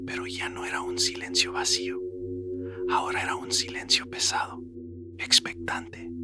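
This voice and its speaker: A man with a whispery, breathy voice narrating a scripted horror story in a suspenseful storytelling manner. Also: low voice. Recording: loud music bed under the voice. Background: loud atmospheric music.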